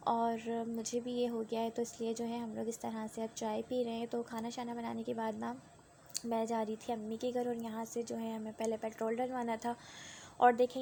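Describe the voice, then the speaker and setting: A young woman narrates her vlog in a rambling, conversational way. Her voice is gravelly and sore-throated, pitched a little high but kept flat, with a mellow, dark tone. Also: slight background noise. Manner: rambling and conversational, with many tag fillers. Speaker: vlogger. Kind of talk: chatty voice-over narration of a daily vlog.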